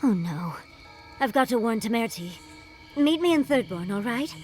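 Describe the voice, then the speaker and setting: An actress playing a tiny, eager, self-assured scholar in a video game, chatty and theatrical. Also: female voice, a little high, lively intonation, moderate pace, a little mellow, faint music behind, natural voice. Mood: urgent. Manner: theatrical, breezy. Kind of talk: scripted video game dialogue.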